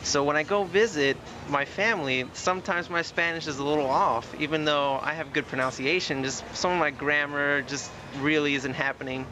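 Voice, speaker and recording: A man's voice: a little high, steady tone, moderate pace, few pauses, background noise. A man born and raised in Austin, Texas, speaking candidly and reflectively about his identity. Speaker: panel guest. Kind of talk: casual discussion.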